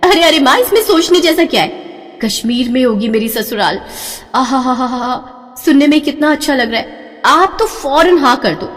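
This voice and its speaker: Casting: a woman as excitable, dreamy young daughter, high voice, whiny and melodramatic, for a story recording with hall reverb. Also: normal speed. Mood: eager, insistent. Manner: whiny, dramatic, gushing. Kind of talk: melodramatic audio storybook acting.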